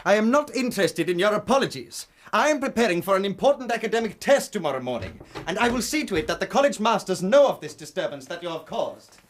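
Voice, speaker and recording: A man's voice: sits high, big pitch swings, fast talker, few pauses, slight background noise. A man in a radio drama playing a stern, haughty Indian student who speaks precisely and formally, with indignation. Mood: indignant.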